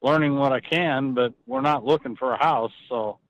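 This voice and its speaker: A man in an unscripted phone conversation as a client, measured and hesitant, thinking his answers through aloud. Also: medium pitch, lo-fi sound, a crowd in the background.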